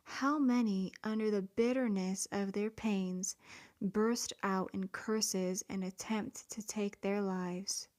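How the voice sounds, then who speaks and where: A woman's voice: mid-range voice, fairly even intonation, slow, darkish tone. A woman reading a devotional prayer in an earnest, pleading way, some words trailing off into a whisper.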